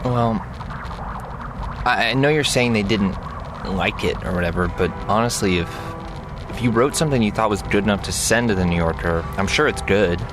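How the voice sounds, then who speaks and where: An actor playing an earnest but easily irritated older brother, talking casually and bluntly in a naturalistic audio drama. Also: male voice, lowish voice, moderate pace, lightly acted. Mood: supportive. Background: music.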